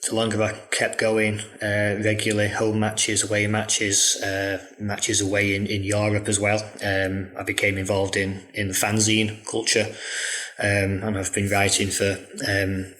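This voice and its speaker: Manc guy in his 30s, low steady voice, lots of ums, thoughtful. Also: measured pace. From Manchester, England. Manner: hesitant, many ums, thoughtful. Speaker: guest, football journalist. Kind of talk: casual interview talk.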